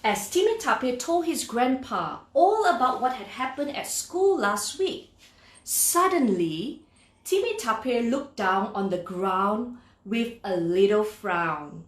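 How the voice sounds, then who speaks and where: A woman reading a children's story aloud in an expressive, deliberate, instructive way, speaking directly to young listeners. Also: fairly high pitch, roomy sound.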